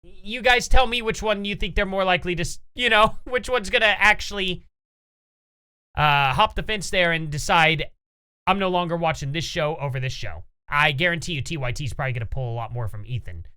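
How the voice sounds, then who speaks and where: A man's voice: fairly high pitch, fairly quick, bright, forceful tone, clean tone. A man hosting a casual reaction commentary, opinionated and rambling as he reads posts aloud. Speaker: commentary channel host.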